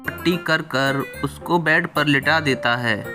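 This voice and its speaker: A man's voice: on the high side, takes it slowly, music in the background. A man narrating a scripted cartoon story as the storyteller.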